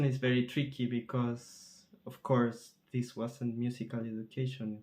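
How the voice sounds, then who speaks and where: A man from Chile, a composer answering interview questions thoughtfully, often pausing and searching for words. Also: mid-range voice, a little mellow. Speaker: composer in residence.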